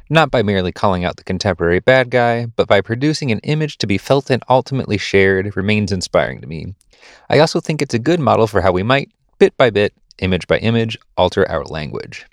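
A man's voice: lowish voice, dry recording, studio quality. A man presenting a podcast, reading a scripted essay and news items aloud.

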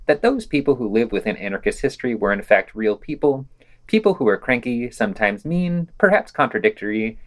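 Lively man reading podcast reviews. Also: on the low side. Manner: wry, opinionated. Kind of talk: scripted podcast narration.

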